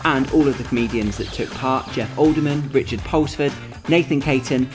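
A man's voice: medium pitch, moderate pace. A man presenting scripted links for a radio show. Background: music bed.

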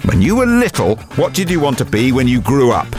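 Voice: mid-range voice, wildly swooping pitch, fairly quick. A radio announcer reading scripted questions and promotional lines. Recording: roomy, with clear music behind.

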